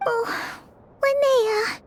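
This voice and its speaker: A woman voicing a small, caring, excitable childlike companion in a video game, fretful and full of exclamations. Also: very high-pitched, very slow, very noisy, heavily acted. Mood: concerned. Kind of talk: English video game voice acting.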